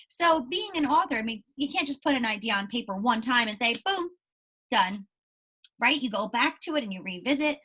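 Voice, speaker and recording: A woman's voice: a little high, expressive, moderate pace, sharp tone, breathy edge. A woman teacher reading aloud and explaining things to young pupils, encouraging them and often checking in with questions. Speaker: primary school teacher.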